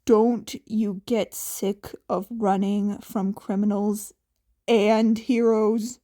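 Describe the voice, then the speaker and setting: A woman doing a gruff, weary older hero, slow. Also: medium pitch, little pitch movement, dark tone, voice pushed down. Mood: exasperated. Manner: curt, blunt, insistent. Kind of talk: audiobook character acting.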